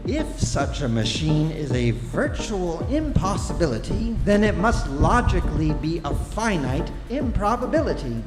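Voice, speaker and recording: A man playing an erudite guidebook narrator, delivering dry, measured scripted narration in a live radio play. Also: on the high side. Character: wry, erudite male narrator of a galactic guidebook. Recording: echoey hall with music behind.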